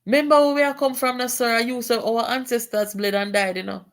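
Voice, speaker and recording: A woman's voice: on the high side, fairly even intonation, a little fast. A woman from Jamaica with a Jamaican accent, reading a political letter aloud and breaking into Patois to comment on it. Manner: switches to Patois, exclamatory, rising indignation.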